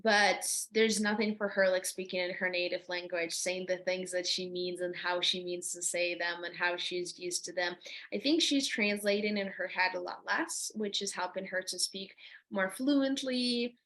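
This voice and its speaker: A young woman from Ukraine with a Ukrainian accent, a university student explaining her experience in an earnest interview with frequent pauses. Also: fairly low pitch, fairly even intonation, fairly bright tone. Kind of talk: conversational interview.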